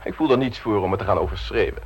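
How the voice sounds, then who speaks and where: An actor playing a cheeky, domineering private secretary in a radio play, teasing and coolly insistent. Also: male voice, fairly low pitch, quick pace, natural voice. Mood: coolly bossy. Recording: noisy old radio play recording.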